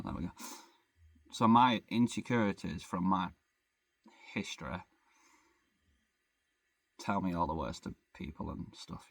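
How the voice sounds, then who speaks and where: A man's voice: deep voice, gravelly, slightly rough, no room sound. A young man, rambling in a casual van-life vlog, swearing freely and joking about himself. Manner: rambling, swears freely, self-deprecating jokes. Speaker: vlogger. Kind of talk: casual chat. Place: living in a van.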